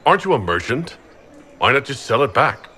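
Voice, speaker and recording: An actor playing a decisive, blunt heroic leader in a video game. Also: male voice, medium pitch, big pitch swings, brisk, sharp tone. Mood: questioning, firm. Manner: direct, commanding. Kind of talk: video game dialogue acting.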